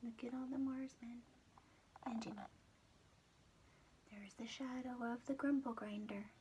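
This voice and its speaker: A woman reading a storybook to young children as a preschool storyteller, dramatic and expressive. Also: a little high, measured pace, noisy background. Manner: dramatic, with whispered asides to young listeners.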